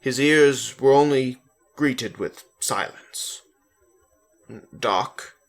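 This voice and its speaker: A man's voice: on the low side, very animated, slow, creaky, fairly bright tone. A man giving a dramatic reading of fiction as the narrator of a story. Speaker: story reader.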